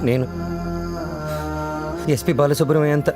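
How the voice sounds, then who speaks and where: A man's voice: fairly high pitch, fairly even intonation, normal speed, natural voice. A man playing an eager young aspiring singer in a Telugu film, deferential and pleading. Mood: earnest pleading. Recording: film soundtrack with loud music.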